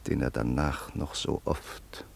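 An actor playing a solemn middle-aged man, narrator and loyal old friend, in a period radio drama, speaking in a measured, grave way. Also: a bass voice, fairly even intonation, vocal fry, a little hiss or hum, heavily acted. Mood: solemn remembering.

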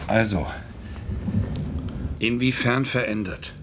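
A man's voice: on the low side, takes it slowly, a bit bright, slight background noise. A man playing a distrustful, confined husband in a science fiction radio drama, blunt and insistent. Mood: suspicious. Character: impatient, distrustful confined husband demanding answers.

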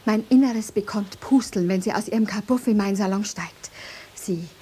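Eine Schauspielerin spielt im Hörspiel ein zierliches, herrisches und eifersüchtiges Fräulein, das eindringlich spricht. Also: female voice, mid-range voice, normal speed, warm tone, breathy edge, natural voice. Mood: agitated scheming. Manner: haughty, intense. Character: imperious, jealous, delicate young mistress of a lighthouse. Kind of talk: radio drama acting.

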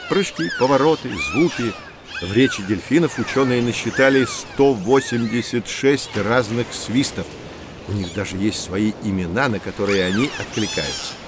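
Lively, kindly professor. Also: male voice, medium pitch, moderate pace, background noise. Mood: enthusiastic. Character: kindly, enthusiastic male professor guiding children. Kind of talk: scripted children's educational audio drama.

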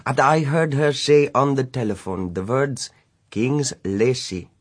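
An actor playing a reserved young foreign prince in a radio drama, answering in formal, clipped phrases. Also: male voice, mid-range voice, measured pace, slightly rough. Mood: guarded.

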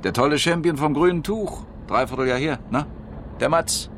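A man's voice: a little high, moderate pace, natural voice. An actor playing a chummy, talkative repairman with a jovial, colloquial and inquisitive manner in a radio crime drama. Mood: hearty greeting. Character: chummy, talkative washing-machine repairman, ex-clerk, probing. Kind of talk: 1970s German radio crime drama acting. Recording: 1970s radio play with background noise.

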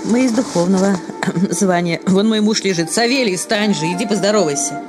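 A woman's voice: medium pitch, moderate pace, lightly acted. A woman playing a plaintive village sexton's wife, music behind. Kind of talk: Russian radio play of a classic story.

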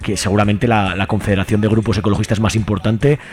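Low-voiced man hosting radio. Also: level tone, fast, darkish tone. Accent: Spanish (Spain). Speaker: radio host. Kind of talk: conversational radio presenting.

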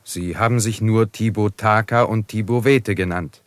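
A man playing a proud young warrior in a radio western. His voice is fairly low and dryly recorded, and his delivery is earnest, formal and terse, with a fiery temper. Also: moderate pace, no echo, close to an everyday voice. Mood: earnest, pained. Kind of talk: German radio drama acting.

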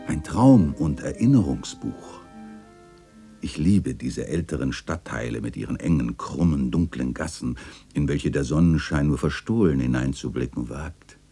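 An actor playing a wistful old chronicler, narrating a literary radio play in a measured, lyrical and contemplative way, with a husky voice. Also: male voice, expressive, warm tone, soft background music, exaggerated voice. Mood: fond, nostalgic. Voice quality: very deep, husky. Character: wistful old male chronicler reminiscing about his beloved alley.

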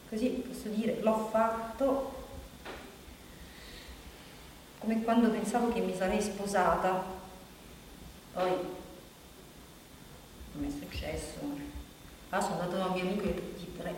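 An actress playing a wistful, insistent unmarried woman in a halting, pleading theatrical monologue. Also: mid-range voice, darkish tone, close to an everyday voice. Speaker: stage actor. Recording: distant, very echoey hall, faint noise.

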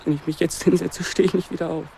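An exhausted wanderer lost in bad weather. His voice is fairly high for a man and heavily creaky. He broods in halting radio-play monologue over clearly audible background noise. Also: little pitch movement, dark tone. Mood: weary, strained. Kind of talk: radio drama interior monologue.

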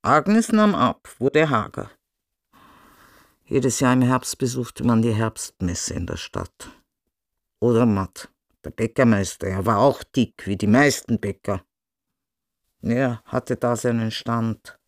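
A sober radio drama narrator. He tells the story at a slow pace in a gravelly, creaking mid-pitched voice, keeping his distance. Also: expressive.

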